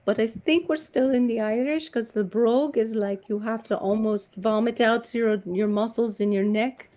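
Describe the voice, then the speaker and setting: A woman hosts a loose, joking conversation, her voice sitting a little high. She teases her guests and rides each joke along playfully. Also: normal speed, dark tone. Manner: playful, teasing banter. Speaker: host. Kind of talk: casual chat.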